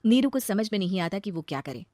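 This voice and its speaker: A woman's voice: medium pitch, big pitch swings, fast talker, a little mellow, dry recording, clean studio sound. A woman narrating a scripted moral story as the storyteller.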